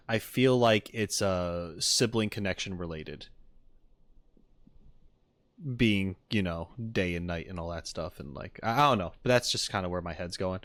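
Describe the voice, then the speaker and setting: A man doing casual live reaction commentary as a game streamer, musing and haltingly thinking aloud. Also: low-pitched, dry recording. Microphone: close streaming mic.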